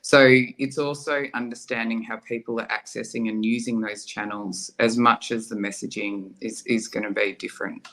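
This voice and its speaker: A man's voice: lowish voice, fairly even intonation, moderate pace, hardly any pauses, pushed and bright, poor audio. A man talking as a guest in casual conversation, thinking aloud with frequent ums.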